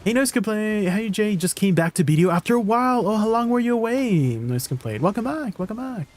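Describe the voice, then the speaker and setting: A man's voice: high voice, lively intonation, a little fast, a little mellow. A man live-streaming, chatting casually with viewers and thinking aloud with lots of fillers and restarts.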